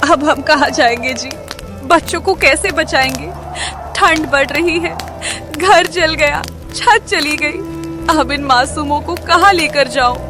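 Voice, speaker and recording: Desperate village mother acted by a woman: very high, slow, plaintive pleading, music underneath. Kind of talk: acted moral story dialogue. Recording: voice over clear background music.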